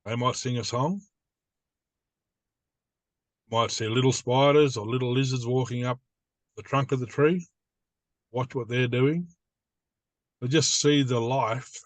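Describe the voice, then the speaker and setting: Older Australian male elder, mid-pitched, plain-spoken informal teaching, close and dry recording. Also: dry recording. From New South Wales, Australia. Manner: plain-spoken, repeats phrases in simple lists.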